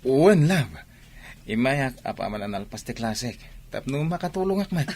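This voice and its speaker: A man's voice: medium pitch, big pitch swings, normal speed, a little mellow. A man with an Ilocano accent playing a sly young student who sweet-talks, in a radio drama style. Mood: sweet-talking. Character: sly young student sweet-talking his older girlfriend. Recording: faint background noise.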